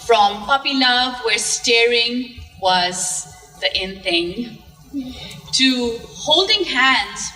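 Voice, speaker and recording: A woman telling her personal story on stage in a slow, dramatic, poetic way. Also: on the high side, pushed and bright. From Zambia. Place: art museum event stage with audience. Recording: distant mic in a reverberant hall.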